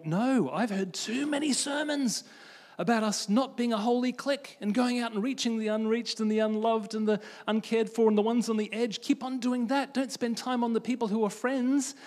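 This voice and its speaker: A man from Australia giving a conference talk about faith and friendship, telling stories in an animated way as he moves around a large stage with a handheld mic. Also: high-pitched, moderate pace. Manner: animated storytelling, pacing the stage. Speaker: conference speaker. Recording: long hall reverb.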